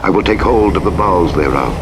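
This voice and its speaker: A man narrating the Bible in a measured, solemn scripted reading. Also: low-pitched, lively intonation. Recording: clear vehicle and road noise throughout. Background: truck engine and road sounds from a driving game.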